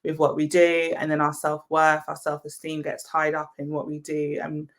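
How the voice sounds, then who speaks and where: A woman's voice: low-pitched, monotone, moderate pace. A woman hosting a career podcast, chatting enthusiastically with her guest over a video call. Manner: enthusiastic, effusive. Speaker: podcast host. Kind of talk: casual interview chat. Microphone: earbuds on a video call.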